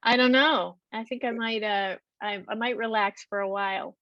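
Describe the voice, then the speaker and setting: Woman on a zoom call talking about her trip, lots of ums, bit of fry, dry muffled audio. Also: medium pitch, fairly even intonation, moderate pace, no echo. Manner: hesitant, many ums and restarts. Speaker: library travel talk presenter. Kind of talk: casual Q&A over video call. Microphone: video call. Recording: dull lo-fi video call audio.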